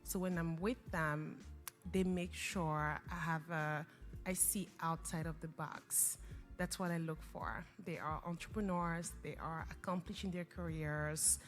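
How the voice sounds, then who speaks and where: A young woman with a notably low voice speaks English as a French native. She reflects earnestly as an interview guest, restarting phrases often. Faint music sits under her. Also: fairly even intonation, a little slow. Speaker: guest, organization founder. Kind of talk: interview conversation.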